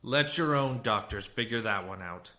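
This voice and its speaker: Man acting blunt civilian interrogator, bright forceful voice. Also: on the low side, expressive, normal speed. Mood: cool, assertive. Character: blunt, self-assured civilian male interrogator and psychologist. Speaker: actor. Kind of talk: radio play acting.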